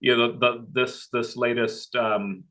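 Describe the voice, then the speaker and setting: Swedish-born man with an American accent, bright-toned and eager, trips over his words. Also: medium pitch, level tone, unhurried. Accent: American English. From Sweden. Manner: eager, chatty, stumbling restarts. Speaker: audience member asking a question.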